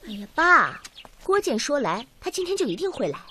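An actress playing a caring, teasing young woman researcher in a Chinese radio drama, expressive and affectionate. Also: high-pitched, brisk, a little hiss or hum, full character voice. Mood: impatient, pleading. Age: young adult.

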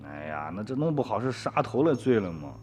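A man's voice: lowish voice, very animated, fairly quick, darkish tone, soft background music. An actor, sighing and pleading with his mother. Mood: whining. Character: poor young village man, guilty and pleading. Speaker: son. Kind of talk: radio drama acting.

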